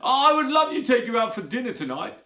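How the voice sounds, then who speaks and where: A smarmy, oily rat catcher, as a man voices him, fawning and lonely. His voice sits high and bright. The room is echoey. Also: sing-song, quick pace, heavily acted. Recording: roomy echo.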